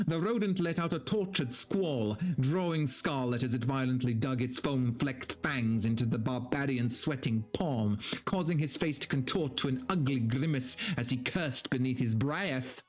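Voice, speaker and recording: A man streaming a dramatic story reading, with theatrical narration and casual, mock-exasperated asides. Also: medium pitch.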